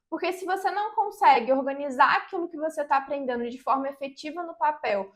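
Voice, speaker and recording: High-voiced woman pitching a study method. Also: a bit bright. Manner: direct, persuasive, addresses the viewer. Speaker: study method creator and teacher. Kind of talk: scripted promotional talk to camera.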